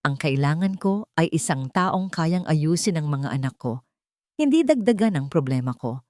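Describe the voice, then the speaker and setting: A woman voicing a weary, cold and authoritative widowed father, speaking quietly in a story. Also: deep voice, animated, moderate pace, dry recording, studio quality. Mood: stern weary. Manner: quiet, tired, commanding.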